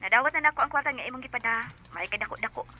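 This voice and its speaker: A forward, teasing woman acted by an actress in a Bisaya radio drama. Her voice is very high and highly animated, with a Filipino accent. Music plays clearly behind her. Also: racing, natural voice. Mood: nagging, sleepy. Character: forward women of a radio drama: nagging wife, flirty bar hostess.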